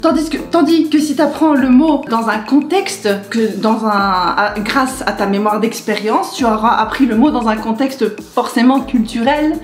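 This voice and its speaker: Animated chatty young woman vlogger. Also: a little high, normal speed, few pauses. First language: French. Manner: animated, chatty, rambling, gestures a lot. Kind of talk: casual chat to camera. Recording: echoey room with faint music.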